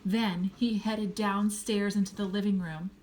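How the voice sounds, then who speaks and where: A woman reading a children's storybook aloud expressively, pausing to speak to a young listener. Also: mid-range voice, fairly even intonation, darkish tone, slight background noise, low-quality recording. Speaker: storybook reader.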